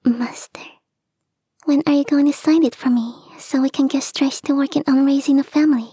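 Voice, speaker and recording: Woman voicing a high, whispery, clingy fox girl. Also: fairly even intonation, a little fast, dry recording, studio quality, natural voice. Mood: coaxing, insistent. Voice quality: soft, breathy, often whispering. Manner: coaxing, sweet, playfully insistent. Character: clingy young kitsune fox girl, eager to marry. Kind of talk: intimate ASMR roleplay addressed to the listener. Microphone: close, dry microphone.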